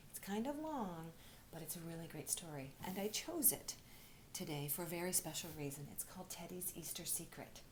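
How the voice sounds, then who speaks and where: A woman reading aloud to children like a teacher, expressive, often dropping into breathy, hushed storytelling. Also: fairly low pitch, brisk. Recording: faint background noise.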